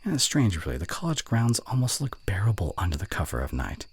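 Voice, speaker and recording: Man acting as a deep, breathy, snarky college guy. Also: very animated, fast talker, full character voice. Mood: soft, wistful. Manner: teasing, grumbling, with sighs and breathy murmurs. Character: snarky young male college student voice actor, secretly fond. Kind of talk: intimate ASMR roleplay acting.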